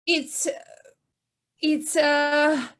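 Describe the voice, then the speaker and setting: A woman acts out a small, anxious meadow creature in an audio play. The voice is high and slow, very polite, hesitating whenever flustered. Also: fairly even intonation, fairly bright tone, full character voice. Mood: flustered alarm. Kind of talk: amateur audio play acting.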